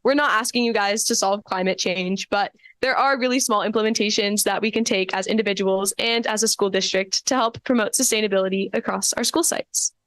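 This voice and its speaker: Teen girl wrapping up a school presentation, calm and sincere, dry mic. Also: medium pitch, brisk, few pauses, dry recording. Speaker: student presenter. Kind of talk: presentation closing.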